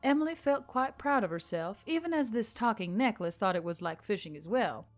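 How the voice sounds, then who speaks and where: A woman's voice: medium pitch, animated, fairly quick. A woman reading scripted fiction as a story narrator. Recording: background music under the voice.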